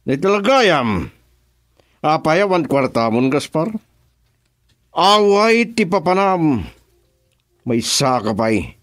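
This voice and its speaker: An actor playing a domineering crime boss in a radio drama, with an Ilocano accent. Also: male voice, a little high, sing-song, slow pace, long pauses, fairly bright tone, no room sound, clean studio sound, heavily acted. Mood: irritated. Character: domineering male crime boss giving orders to henchmen.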